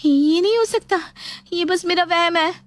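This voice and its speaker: A woman's voice: very high-pitched, measured pace, pitched above the performer's own voice. A woman playing a put-upon young daughter-in-law, polite yet scheming, in an acted moral story. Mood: frightened.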